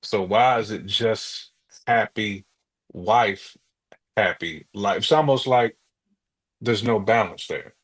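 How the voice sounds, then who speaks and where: Deep voiced american guy, husky, casual co-host talk. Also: slow pace, pauses often, a bit bright. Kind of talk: casual chat giving opinions.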